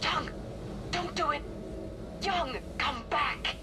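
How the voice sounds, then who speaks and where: An actress playing an anxious, protective spaceship crew member in a sci-fi horror game, with noisy ship ambience behind. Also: female voice, high voice, takes it slowly. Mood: alarmed. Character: anxious, protective woman crew member directing colleagues from control.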